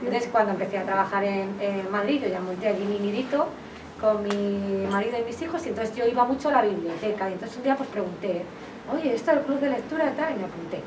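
A woman's voice: medium pitch, quick pace. A woman in her forties from La Mancha with a Castilian Spanish accent, chatting to an audience as a nurse and author, speaking through a face mask. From Valdepeñas, Castile-La Mancha, Spain. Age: 40s. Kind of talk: conversational public talk with anecdotes. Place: indoors, public library reading area. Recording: echoey room, distant microphone, clear background noise.

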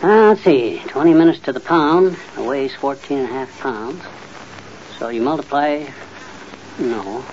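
An actor playing a blustery, folksy, know-it-all middle-aged husband in an old-time radio comedy. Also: male voice, a little high, sing-song, brisk, darkish tone, slight background noise. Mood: muttering, puzzled. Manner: folksy, boastful, muttering and sputtering. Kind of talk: 1940s-50s radio comedy acting. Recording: muffled old radio recording.

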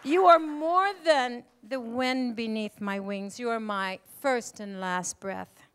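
A woman's voice: fairly high pitch, slow pace, some chatter behind. A middle-aged woman giving effusive, emphatic thank-you remarks on stage into a handheld microphone. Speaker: playwright and event host. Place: stage in an auditorium before an audience. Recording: live stage microphone with audience noise.

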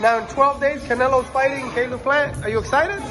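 A man interviewing at a boxing gym, firing off quick chatty questions and joking, filmed on his own phone. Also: extremely high pitch, fairly even intonation. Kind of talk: casual on-the-spot interview. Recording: music and crowd noise in a gym.

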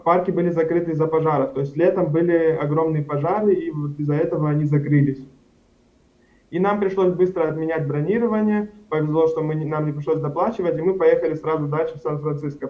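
Young male guest on a muffled webcam link, fairly high voice for a man, rambling answers full of fillers and restarts. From Karachay-Cherkessia, Russia. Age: young adult. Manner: rambling, frequent fillers and self-corrections. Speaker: studio guest. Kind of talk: casual interview answers. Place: indoors at home, plain wall. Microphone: video call webcam. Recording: dull lo-fi video call audio.

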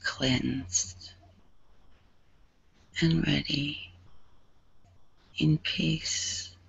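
A woman leading a guided meditation in a soothing, hushed and breathy manner, leaving long pauses. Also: deep voice, fairly even intonation, dry recording, lo-fi sound.

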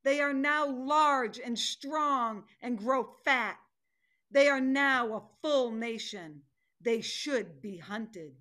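A middle-aged woman playing a fierce, determined tiger in a dramatic play reading, speaking deliberately and with command. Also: high voice, pushed and bright, heavily acted. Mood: grim resolve. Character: fierce female tiger, stern, determined hunter. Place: home room, video call.

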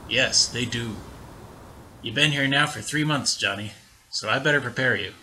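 A man's voice: mid-range voice, normal speed, bright, forceful tone, a little hiss or hum. A man playing a weathered, brooding old lighthouse keeper who muses wistfully in a folksy way, in an amateur audio drama. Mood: ominous, wistful. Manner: folksy, musing delivery.

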